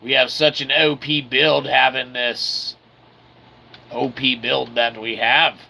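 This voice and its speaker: A man giving casual video game commentary in a playfully cocky manner, talking as "we", with a gravelly voice. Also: mid-range voice, level tone, slow, sharp tone, slight background noise, poor audio. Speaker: gameplay host.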